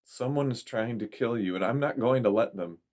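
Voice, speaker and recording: A man's voice: mid-range voice, normal speed, a little mellow, natural voice. A man reading the part of a desperate, protective man, urgent and commanding.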